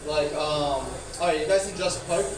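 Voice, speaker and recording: A man doing rambling, profane, self-deprecating stand-up, with a speech impediment that softens his R sounds. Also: on the high side, brisk. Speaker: stand-up comedian. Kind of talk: stand-up comedy at an open mic. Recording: echoey, noisy room, far from mic.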